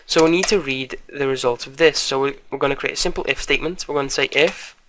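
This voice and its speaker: A man presenting a casual programming tutorial in an informal, hesitant, apologetic way, slightly croaky as he recovers from a cold. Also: medium pitch, fast, fairly bright tone, slight background noise.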